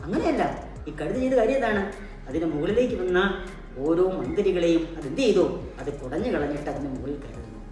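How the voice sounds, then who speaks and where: Low-pitched woman storyteller in a very echoey space. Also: animated, quick pace, warm tone. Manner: teacherly, question-and-answer, repeats key words. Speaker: puppet show host, storyteller. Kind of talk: storytelling for children. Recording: very echoey, distant mic, background music.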